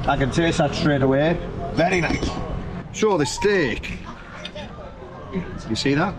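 A man's voice: fairly high pitch, takes it slowly. A man in his thirties with a British accent, reviewing food casually to camera in a chatty, slangy, emphatic way. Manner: chatty, slangy, emphatic, repeats phrases for effect. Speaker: food reviewer and vlog host. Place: open-air restaurant terrace by a road. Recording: outdoor street-side noise, passing vehicles.

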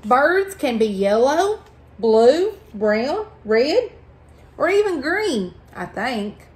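A middle-aged woman reading a picture book aloud to children, phrasing expressively and deliberately. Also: high voice, sing-song, a little mellow. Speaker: library storytime reader. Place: indoors at a table in a small room.

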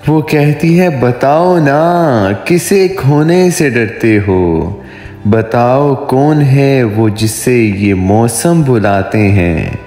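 A man in his thirties reciting romantic poetry in a measured, expressive way, lingering on his phrases. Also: mid-range voice, echoey room, big-room sound. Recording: faint music behind the voice.

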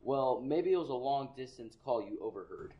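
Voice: medium pitch, expressive, normal speed, muffled recording, natural voice. A student actor playing a bored, dismissive police desk sergeant who talks in an offhand, condescending drawl, in an echoey room. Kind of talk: school radio play acting. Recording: echoey classroom recording.

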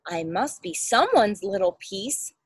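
A woman's voice: medium pitch, very animated, measured pace, breathy edge, no echo, studio quality, voice pushed down. A woman voicing a small, earnest, questioning male storybook creature in a read-aloud. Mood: distressed.

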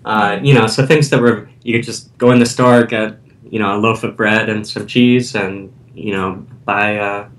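A man's voice: steady tone, normal speed. A man who is an author and guest, telling his personal story in a hesitant way with many fillers. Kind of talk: interview storytelling. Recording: distant mic with faint rumble.

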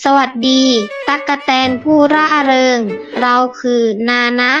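Woman acting curious kind child, very high voice, wondering, children's story, slightly dull recording. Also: steady tone, measured pace, music in the background. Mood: curious, friendly. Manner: wondering, childlike, addresses young listeners. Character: curious, kind young child adventurer from magic world.

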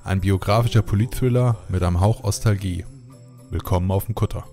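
A man co-hosting a live stream chat, who reads the guest introduction and then chats in a relaxed, friendly way over a headset. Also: lowish voice, a little fast, faint music behind. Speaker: co-host. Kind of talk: scripted intro reading, then casual chat.

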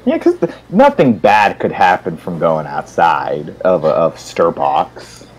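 A man's voice: low voice, very animated, a little slow, a little hiss or hum. A man chatting casually on a game stream, playfully riffing on absurd ideas. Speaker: guest player in game stream. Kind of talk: casual joking chat.

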